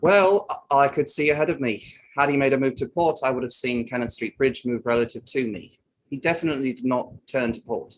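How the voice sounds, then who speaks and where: A man's voice: mid-range voice, brisk, slight background noise, close to an everyday voice, rough recording. A man playing a matter-of-fact, slightly defensive boat crewman giving evidence in a table read of an inquiry play. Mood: firm, insistent.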